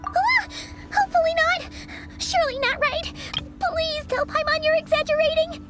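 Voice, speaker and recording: A woman voicing a tiny, chatty, childlike fairy companion in a video game, squeaky, cutesy and dramatic. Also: fairly even intonation, normal speed, a bit bright, soft background music. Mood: alarmed.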